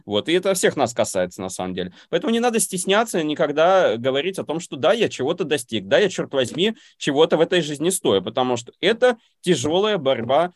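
A man's voice: on the high side, big pitch swings, quick pace, few pauses, no room sound. A man whose first language is Russian, chatting casually and hesitating often with filler sounds. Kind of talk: casual conversation.

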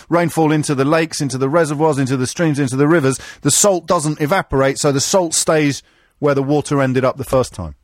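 A man's voice: a little high, dry recording. Dry, teasing radio host man. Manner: dry, teasing, self-mocking. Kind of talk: radio phone-in chat. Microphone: studio microphone.

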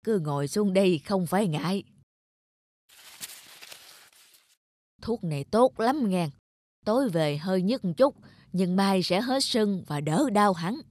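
A woman voicing a kindly, grateful old village grandmother in an animated folk tale, speaking in a homely, insistent, unhurried way. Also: medium pitch, no echo, lightly acted. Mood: caring.

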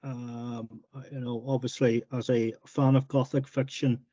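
A man being interviewed about his writing, speaking in a relaxed, plain way with some stumbles and repeated words over a remote call. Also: mid-range voice, unhurried, breathy edge, no echo, dull audio. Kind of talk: casual interview chat.

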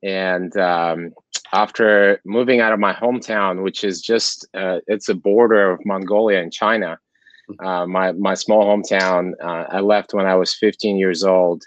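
Dull-sounding low-voiced man from Kazakhstan. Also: steady tone, measured pace, no echo. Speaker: interview guest, sports ministry leader. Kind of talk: conversational interview, personal story. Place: remote call. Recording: dull lo-fi call audio.

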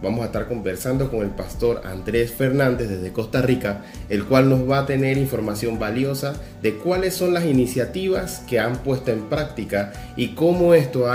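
A man speaks as the host of a programme, presenting to his audience. His voice sits at a middle pitch in a noticeably roomy, echoing space. Music plays faintly underneath him. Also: expressive, moderate pace, darkish tone. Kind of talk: presenting a programme to camera or listeners.

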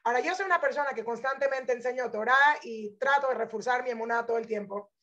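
Woman, thirties, high voice, informal religious motivational teaching, English phrases mixed in, dull lo-fi audio. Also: quick pace, a bit bright. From born in Venezuela, grew up in Panama. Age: 30s. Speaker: Torah teacher. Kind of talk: religious motivational talk with personal storytelling.

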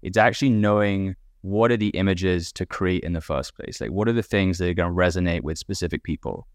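A man with a British accent, softened by years in America, explaining his startup as a podcast guest in a relaxed way, with many "like"s and "basically"s. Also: deep voice, a little fast, no room sound, clean studio sound. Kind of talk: casual podcast interview.

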